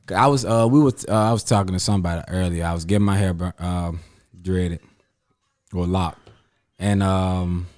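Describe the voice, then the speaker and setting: Easygoing man in his thirties, low voice. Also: fairly even intonation, normal speed, a little mellow. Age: 30s. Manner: rambling, loose, uses slang and fillers. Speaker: podcast host. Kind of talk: casual chat among friends.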